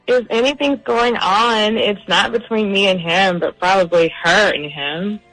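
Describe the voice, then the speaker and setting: A young adult woman with an average-pitched voice. She speaks casually, as someone rung up by a radio show. Faint music plays underneath. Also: measured pace, a bit bright, dry recording, poor audio. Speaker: phone caller. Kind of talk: casual phone conversation.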